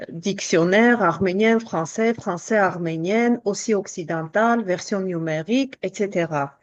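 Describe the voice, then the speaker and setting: A woman's voice: medium pitch, muffled recording. A woman from Armenia, a native Armenian speaker, talking carefully as a guest on a radio show, with a light laugh now and then. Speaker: translator.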